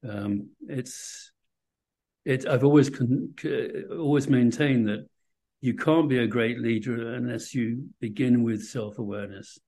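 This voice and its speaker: A man hosts an interview in a chatty, conversational way. His voice is soft-edged and husky, with a mellow, relaxed tone at a middling pitch. He comes across as enthusiastic. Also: measured pace. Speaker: interview host.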